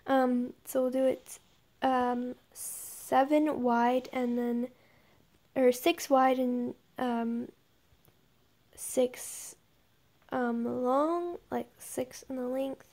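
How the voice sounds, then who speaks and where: A woman's voice: high-pitched, fairly even intonation, extremely slow, a little mellow. A young woman giving casual commentary as she builds in a game, thinking aloud and often murmuring under her breath. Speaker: gaming video creator. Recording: noisy home recording with clicks.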